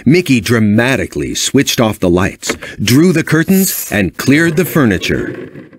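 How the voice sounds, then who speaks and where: Lively male storybook narrator with animated pitch. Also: a little slow, background noise. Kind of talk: scripted children's read-along narration.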